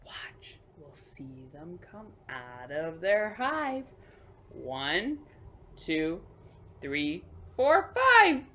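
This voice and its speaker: Lady doing kids storytime, really slow and swoopy, drops to hushed asides, kinda noisy recording. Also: lowish voice, faint music behind. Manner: expressive, slow emphatic reading with hushed asides. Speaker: library assistant hosting children's storytime. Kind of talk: picture book read-aloud for young children.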